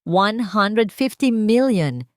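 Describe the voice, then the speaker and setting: A woman's voice: medium pitch, animated, takes it slowly, no room sound, pitched above the performer's own voice. A woman plays a bitter, greedy man who is cornered and desperate. The audio story has a dry, close sound.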